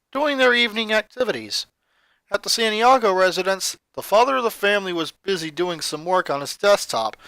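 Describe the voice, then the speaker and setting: A man reading a story aloud as scripted narration, with dramatic, expressive delivery. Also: sits high, clear, resonant tone, no echo, low-quality recording. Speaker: narrator, fan fiction reader.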